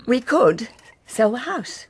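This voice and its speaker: An actress playing a weary, anxious older wife in a naturalistic radio soap, measured and pleading. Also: female voice, fairly high pitch, big pitch swings, slight background noise. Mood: anxious, reasoning.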